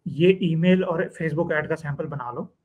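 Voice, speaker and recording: A man, talking as a guest in a conversational interview, animated and telling stories. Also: fairly high pitch, measured pace, narrow, tinny audio. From Pakistan. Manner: animated storyteller, acts out clients and students in quotes. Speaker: guest, freelance copywriting trainer.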